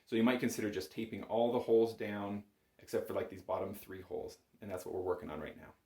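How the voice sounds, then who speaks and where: A man's voice: fairly low pitch, steady tone, fast talker, darkish tone. A man giving a conversational music lesson as an instructor, patient and encouraging, explaining things step by step. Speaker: bagpipe instructor. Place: small home music room, indoors. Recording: roomy indoor echo.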